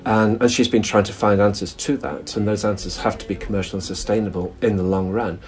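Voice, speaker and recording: A man's voice: fairly low pitch, fairly even intonation, fairly quick, darkish tone. A man explaining in an interview, with occasional "um" fillers.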